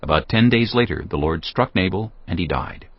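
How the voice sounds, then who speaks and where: A man narrating scripture from a script in a measured, solemn storytelling manner. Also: sits low, lively intonation, lo-fi sound.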